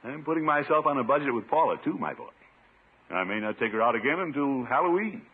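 A man's voice: mid-range voice, sing-song, quick pace, slightly rough. A man playing a pompous, thrifty middle-aged uncle in a 1950s radio sitcom, blustering and easily flustered, with nervous chuckles. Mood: smug, lecturing. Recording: dull, muffled 1950s radio recording.

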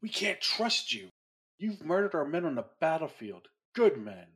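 A forceful, raspy Jedi elder. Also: male voice, a little high, very animated, normal speed, full character voice. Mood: accusing. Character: distrustful, disapproving male Jedi council master. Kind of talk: dramatic audio drama acting.

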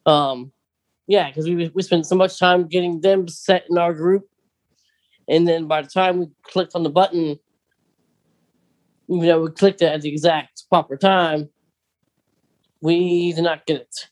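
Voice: medium pitch, fairly even intonation, measured pace, pauses often, a little mellow. A podcast co-host chatting casually and conversationally about fandom news, often putting questions to a partner.

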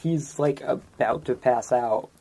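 A woman's voice: very deep voice, takes it slowly, warm tone, slight background noise. A woman chatting casually as a player in a tabletop game, with wry, dry joking.

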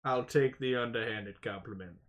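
A man running a tabletop game as game master, narrating at an easy pace with pauses and fillers. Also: mid-range voice, a bit bright, highs cut off.

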